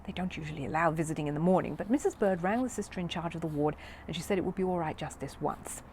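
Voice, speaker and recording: A woman's voice: deep voice, quick pace, a little mellow, a little hiss or hum, lightly acted. A woman voicing an anxious, well-meaning housewife in a children's story, fussing as she talks. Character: worried, well-meaning housewife, gentle but exasperated.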